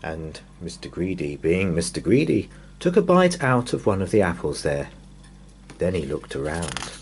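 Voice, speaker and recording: A man reading a children's bedtime story in an expressive, dramatic way, lingering on repeated words. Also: deep voice, moderate pace, a little hiss or hum.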